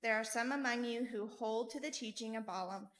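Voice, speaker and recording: A woman reading a scripture passage aloud in church in a measured, steady way. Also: a little high. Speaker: scripture reader.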